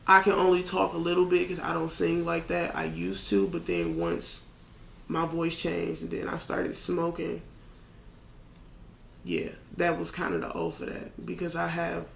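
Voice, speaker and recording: Raspy, gravelly man in an echoey room. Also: on the high side, measured pace, a little hiss or hum. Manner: relaxed, candid, loose with filler words. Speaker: podcast guest, rapper. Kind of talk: casual chat.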